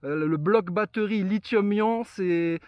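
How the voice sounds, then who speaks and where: A man's voice: sits high, measured pace, smooth, no echo, highs cut off. A man chatting casually and explaining things as a radio operator. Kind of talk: casual radio contact chat.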